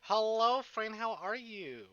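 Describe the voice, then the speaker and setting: A man chatting casually to livestream viewers in an animated, self-interrupting, playfully profane way, as host of a game stream. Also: sits high, takes it slowly, no room sound, dull audio. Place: indoors at a desk.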